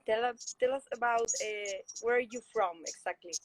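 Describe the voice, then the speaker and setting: A woman's voice: a little high, normal speed, no room sound. Hesitant Spanish-accented woman interviewer. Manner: hesitant, many fillers, frequent short laughs. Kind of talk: casual video call interview. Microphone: video call.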